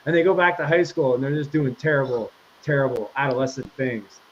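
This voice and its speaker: Excitable young man with muffled sound. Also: mid-range voice, a little fast. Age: 20s. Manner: excitable, swearing, teasing. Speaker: podcast host. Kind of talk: casual profane chat. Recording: muffled with faint hiss.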